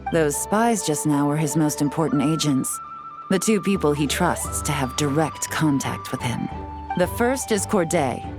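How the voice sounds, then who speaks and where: A woman voicing a cool, confident female spy in a game, smooth and teasing as she lays out her plans. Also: deep voice. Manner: smooth, teasing, self-assured. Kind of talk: video game voice acting. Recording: game audio with soft music behind.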